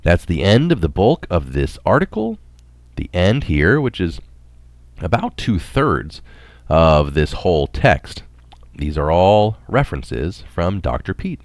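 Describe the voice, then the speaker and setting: A man reads a science article aloud as a narrator. His voice sits low and moves up and down a great deal in pitch. The sound is dry, with hardly any room to it. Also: very animated, slow, no echo.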